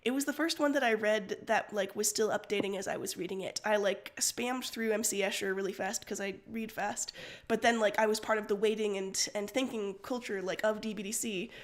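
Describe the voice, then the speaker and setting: A woman's voice: medium pitch, steady tone, a little fast. A woman co-hosting a story stream, chatting casually in a playful, giggly and joking way. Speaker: stream co-host and story reader. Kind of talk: casual, joking fan chat.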